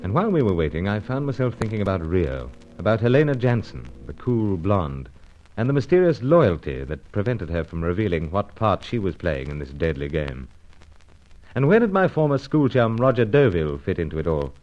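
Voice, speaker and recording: A loyal, dryly understated British secret agent in a radio adventure. The voice is low with lively inflection and clipped delivery. The sound is a little muffled. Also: male voice, brisk. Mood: reflective. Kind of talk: old-fashioned radio serial acting and first-person narration.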